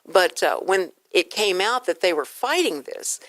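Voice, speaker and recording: A woman with a slightly low voice hosts a casual talk show. She tells stories in an animated, conversational way, and the sound around her is very dry. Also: a little slow, no room sound.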